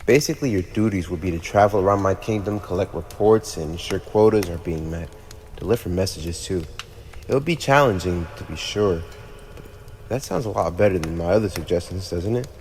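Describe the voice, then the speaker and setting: A man's voice: deep voice, brisk, a little mellow. A man playing a smug, teasing, playfully menacing teenage demon prince in an ASMR roleplay, talking straight to the listener in a conversational, mock-formal way. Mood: earnest, persuasive. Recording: echoey reverberant room with clear background noise.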